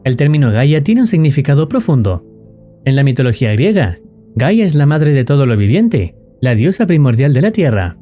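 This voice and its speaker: A man's voice: mid-range voice, big pitch swings, moderate pace, dark tone, faint music behind. A man reading scripted narration in the role of a storyteller explaining a tale.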